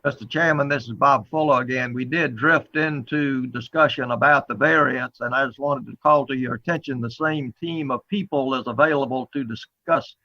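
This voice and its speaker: A man's voice: medium pitch, fairly even intonation, normal speed, hardly any pauses, bright, forceful tone, no echo. Formal man on a dull, dry call. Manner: polite, formal. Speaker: applicant's representative. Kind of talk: formal remarks to a board. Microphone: remote call. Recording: dull remote call audio.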